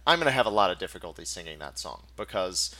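A man's voice: sits low, very animated, quick pace, bright, forceful tone. A man hosting a podcast, talking casually, asking probing questions and explaining his points while checking that he is understood. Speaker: podcast host. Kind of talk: casual chat.

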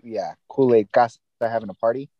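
A man co-hosting a podcast, talking in a relaxed, laid-back way. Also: mid-range voice, a little fast, no echo, muffled recording. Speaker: podcast co-host. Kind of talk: casual podcast chat.

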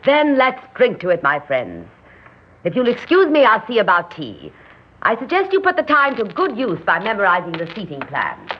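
An actress in an old-time radio drama playing an imperious, grandiose, scheming society hostess with a commanding, theatrical delivery. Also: female voice, medium pitch, wildly swooping pitch, normal speed, slight background noise. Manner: theatrical, commanding, self-assured. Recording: dull, muffled old radio recording.

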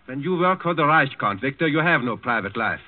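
A man's voice: mid-range voice, expressive, a little fast, natural voice. Man as domineering official, vintage radio. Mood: officious insistence. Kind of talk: 1940s radio drama acting. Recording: muffled vintage 1940s radio recording.

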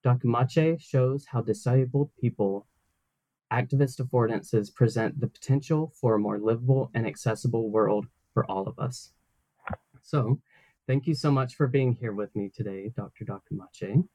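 A man's voice: mid-range voice, normal speed, dark tone, no room sound, rough recording. A man hosting a book interview podcast, reading a formal scripted introduction in a polite manner. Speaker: podcast host.

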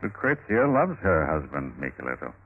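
A scheming Italian Renaissance nobleman in a vintage radio play. His voice is very low and moves dramatically up and down. He lingers floridly on words, through a narrow, muffled old broadcast sound. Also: sing-song, moderate pace, full character voice. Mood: brooding, jealous. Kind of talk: 1950s radio drama acting.

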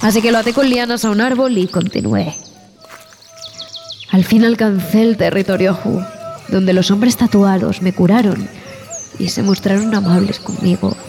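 A woman playing a traumatized expedition survivor in a horror audio drama, telling her story in a haunted, halting, dramatic way. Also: medium pitch, creaky, mellow, natural voice. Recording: music bed behind the voice.

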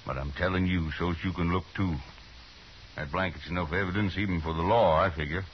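An American actor playing a stubborn cattle rancher in a 1950s radio Western, with a clipped, firm, terse delivery. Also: male voice, low voice, fairly even intonation, a little fast, lightly acted. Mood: grimly determined. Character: stubborn, stern cattle rancher, adult man. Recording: muffled, noisy 1950s radio recording.

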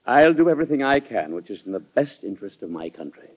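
An actor playing a blunt, suspicious cabinet official in an old radio drama, on a muffled vintage recording. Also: male voice, medium pitch, very animated, fast. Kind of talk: 1940s radio drama acting.

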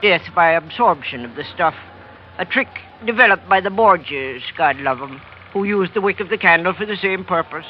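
An actor playing a gleeful, theatrical schemer in an old-time radio drama, with lilting, florid phrasing. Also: male voice, sits high, lively intonation, moderate pace. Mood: gloating. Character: gleeful, scheming villain aboard ship, theatrical and wheedling. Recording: dull vintage radio recording, faint noise.

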